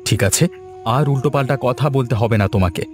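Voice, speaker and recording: A man's voice: medium pitch, fast talker, close to an everyday voice. Young cartoon villager, voiced by a man: protective, earnest, hot-headed, with music underneath. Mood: concerned, indignant. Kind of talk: amateur cartoon dubbing.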